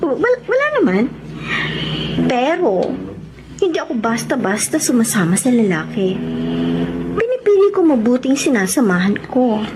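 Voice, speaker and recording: A woman's voice: a little high, very animated, normal speed, pauses often, noisy background. A woman with a Filipino accent acting a coy, secretive escort who spies on a politician in a Tagalog radio drama. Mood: guarded, coy.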